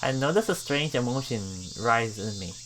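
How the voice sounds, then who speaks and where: A woman doing a visual novel let's play, reading the text aloud and adding playful, expressive casual commentary. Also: extremely low pitch, normal speed, background noise.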